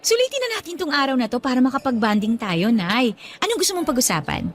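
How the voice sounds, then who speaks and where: An actress playing a nosy, teasing young adult daughter in a radio drama, with a Filipino accent and dramatic, slangy banter. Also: female voice, high voice, big pitch swings, fast talker, faint music behind. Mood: warm, casual. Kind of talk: Tagalog radio drama acting.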